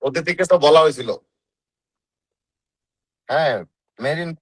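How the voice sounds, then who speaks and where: A man's voice: a little high, a little slow, dry recording, poor audio. A man with a Bangladeshi accent, casually hosting and steering a group chat.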